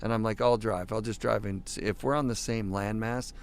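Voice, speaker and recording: A man's voice: fairly low pitch, steady tone, brisk, slight background noise. A man hosting a podcast from inside a parked RV, asking questions in a laid-back, slangy way with lots of "like". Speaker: podcast host. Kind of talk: casual chat.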